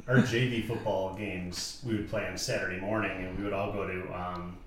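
A man's voice: low voice, steady tone, normal speed, recorded from a distance, a little hiss or hum. An American man hosting a casual podcast conversation, heard off-mic in a very echoey room. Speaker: podcast host.

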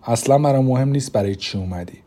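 Blunt young swordsman, read by a man: protective, warm, husky. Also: mid-range voice, lightly acted.

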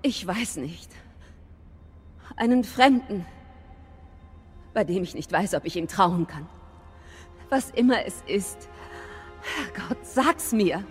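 A woman's voice: medium pitch, animated, takes it slowly, pauses often. An actress playing a worried, forthright Victorian lady knight in an audio drama, speaking with urgent, emotional insistence. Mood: hurt, pleading. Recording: reverberant hall, music underneath.

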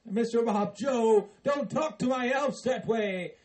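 A man's voice: extremely high pitch, slow, darkish tone. A man playing a cheerful, Santa-like boss of the elves who coaxes in a sing-song way. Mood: coaxing. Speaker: comedy character.

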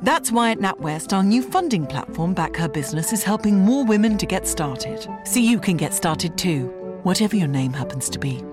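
A woman's voice: fairly low pitch, lively intonation, normal speed, few pauses. A woman reading a polished, persuasive scripted commercial. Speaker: ad voice-over. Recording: studio voice-over over loud music.

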